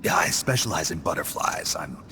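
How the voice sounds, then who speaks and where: An actor playing a gruff, guarded male soldier in a video game, terse and muttering in a gravelly, breathy growl. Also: animated, normal speed, sharp tone, a little hiss or hum, heavily acted. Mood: evasive. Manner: terse, low, muttering questions. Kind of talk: video game cutscene acting. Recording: dramatic music behind the voice.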